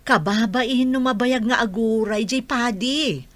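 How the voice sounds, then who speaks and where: Actress as a high-voiced nagging Filipino mother. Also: measured pace, natural voice. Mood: impatient. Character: nagging, impatient mother of a grown daughter. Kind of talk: radio drama acting. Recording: music under the voice.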